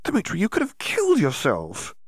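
A man's voice: mid-range voice, sing-song, quick pace, no echo, clean studio sound, voice pushed up. A man voicing an anxious teenage witch girl, who lectures in an exasperated way. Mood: exasperated lecturing.

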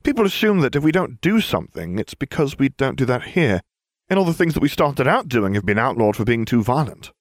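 A man's voice: mid-range voice, very animated, brisk, no echo, clean studio sound, pitched above the performer's own voice, close to an everyday voice. A man doing a pompous, theatrical young wizard. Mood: chatty. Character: young male wizard leader, theatrical, scheming.

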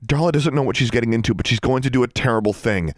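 A man voicing an earnest, determined teenage girl who speaks softly and insistently in an audiobook. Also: mid-range voice, rapid-fire, no room sound, studio quality, voice pushed up, lightly acted. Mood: earnest pleading. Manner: soft-spoken, insistent. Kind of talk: audiobook character voice.

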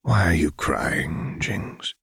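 A man voicing a calm, calculating father figure with soft menace in an audiobook, speaking in a measured, deliberate way. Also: a bass voice, gravelly, slightly rough, dry recording, clean studio sound, full character voice. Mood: soothing.

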